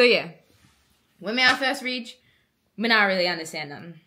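An Australian woman putting on Jamaican Patois, speaking quickly and playfully, a little self-conscious. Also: medium pitch, sharp tone. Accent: Australian attempting Jamaican Patois. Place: bright living room indoors.

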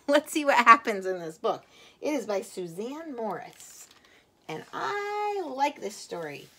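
A middle-aged woman reading a children's story aloud in an animated, sing-song, unhurried manner, with asides to the listener. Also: fairly high pitch. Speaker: teacher reading picture books to children. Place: small indoor room set up as a classroom.

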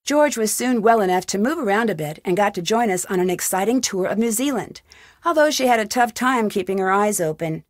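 An actress playing a young amateur detective in a video game, upbeat, caring and wry, with a friendly, lightly teasing delivery. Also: female voice, medium pitch, animated, fairly quick, no room sound, clean studio sound, lightly acted. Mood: narrating, upbeat. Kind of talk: scripted video game voice acting.

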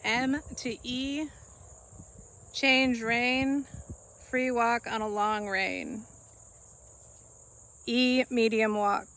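A woman calling out the movements of a dressage test in short, clipped commands with pauses between them. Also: a little high, steady tone, fairly bright tone.

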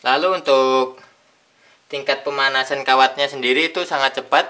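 A young man with a bright, forceful voice walks through a tool's features in a hands-on unboxing demonstration. He speaks in plain steps, pausing between phrases as he handles each part on a tabletop. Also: mid-range voice, steady tone. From Indonesia. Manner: plain step-by-step explaining with pauses. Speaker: product reviewer. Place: indoors at a tabletop.

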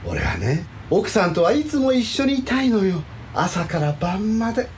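A man playing a playful, weary middle-aged villain actor in a radio drama, swinging theatrically between low murmurs and high whines. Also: wildly swooping pitch, moderate pace, a crowd in the background. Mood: earnest.

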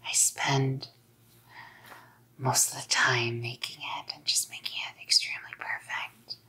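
A young woman playing an obsessive, menacingly sweet psycho girlfriend in a whispered ASMR roleplay, cooing and softly threatening. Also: extremely low pitch, sing-song, a little slow, gravelly, husky, heavily acted. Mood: sweetly excited. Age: young adult. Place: small dim room indoors. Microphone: close ASMR mic.

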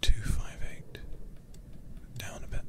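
A man livestreaming a game ASMR-style, murmuring to himself and to his viewers close to the microphone. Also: slow pace. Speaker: livestreamer playing a horror game. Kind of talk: whispered ASMR game stream chat.

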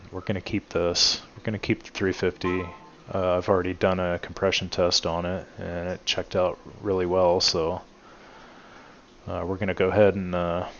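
Deep flat-voiced man in a garage. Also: muffled recording, a little hiss or hum. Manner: relaxed, many "uh" fillers, addresses viewers as guys. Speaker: channel host showing his truck restoration. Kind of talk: casual walkaround narration. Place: home garage.

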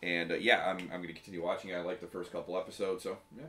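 An American man in a casual podcast chat, joking and a bit halting as he talks. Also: sits low, flat delivery, pushed and bright. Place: indoor room full of display cabinets. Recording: echoey room.

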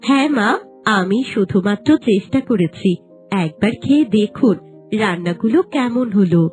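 A woman's voice: medium pitch, lively intonation, moderate pace, darkish tone, soft background music, natural voice. A woman voicing a deceitful young new bride in a fairy-tale cartoon, anxious and placating.

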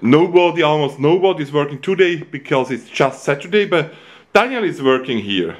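A man's voice: fairly high pitch, expressive. Man touring a nursery. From Switzerland. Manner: halting non-native English with frequent uh pauses. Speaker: nursery founder giving a tour. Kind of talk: casual walking tour narration. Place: outdoors at a nursery, cold wind. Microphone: camera mic, walking behind the camera.

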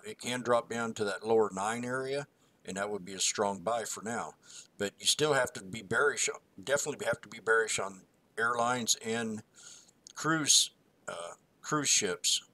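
A man's voice: fairly low pitch, pushed and bright. A man co-hosting a stock market review, walking through chart price levels in a rambling, folksy way. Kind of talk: casual chart analysis voice-over.

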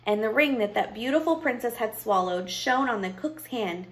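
A woman voicing a stern, knowing old grandmother who tells a fairy tale in a deliberate, probing way. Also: on the high side, animated, fairly bright tone. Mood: measured storytelling. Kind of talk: storybook character voice.

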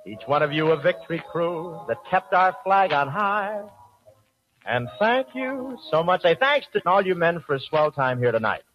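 A man's voice: fairly high pitch, sing-song, normal speed, faint music behind. A man signing off as an entertainer on a vintage radio broadcast. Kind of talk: 1940s radio entertainer sign-off. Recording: muffled old radio broadcast recording.

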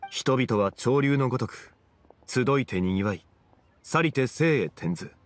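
An actor playing a calm, refined, scholarly gentleman in an anime game, speaking in a measured, formal and eloquent way. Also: mid-range voice, lightly acted. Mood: contemplative. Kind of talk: Japanese anime game voice acting.

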